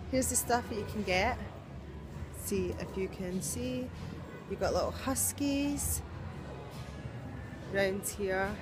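A woman, chatting casually into a phone held at arm's length for a holiday vlog, rambling with lots of fillers. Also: mid-range voice. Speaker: vlogger.

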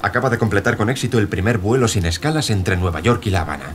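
A man's voice: on the low side, animated, fast talker, faint music behind, lightly acted. A man playing a formal, persuasive promoter in an audio drama. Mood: businesslike, urging. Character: persuasive, formal male promoter recruiting an aviator.

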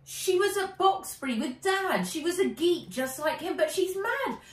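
A woman's voice: very high voice, very animated, moderate pace, pitched above the performer's own voice. A British woman voicing an upset, dramatic young schoolgirl in a storybook, exclaiming and pleading. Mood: indignant. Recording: roomy, a little distant from mic.

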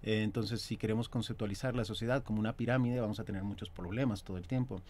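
Mexican actor in his thirties, low-pitched, thoughtful interview guest at a desk mic, faint hum of room behind. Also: fairly even intonation, a little mellow. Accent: Mexican Spanish. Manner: measured, reflective, speaks in long considered phrases. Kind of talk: studio radio interview. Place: small radio studio. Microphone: desk mic on stand.

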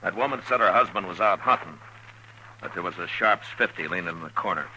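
An actor playing a stern, steady frontier marshal in a 1950s radio western, terse and authoritative. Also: male voice, sits low, brisk, a little hiss or hum, exaggerated voice, low-quality recording. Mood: steady, businesslike. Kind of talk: 1950s radio western acting and first-person narration. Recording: vintage 1950s radio recording with music.

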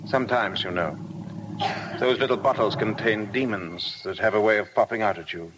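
Actor playing upper-class Englishman, commanding, clipped, self-possessed, fairly low voice, vintage radio drama. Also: moderate pace, noisy background, close to an everyday voice. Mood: brusque, brooding. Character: commanding, moody upper-class English gentleman, brusque yet tender. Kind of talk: 1950s radio drama acting.

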